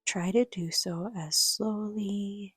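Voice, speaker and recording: Slow, soft, breathy woman guiding meditation. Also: medium pitch, fairly even intonation. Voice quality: soft, breathy, half-whispered. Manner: gentle, slow, soothing, measured with long pauses. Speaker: guided meditation teacher. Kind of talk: guided yoga nidra meditation, soothing narration.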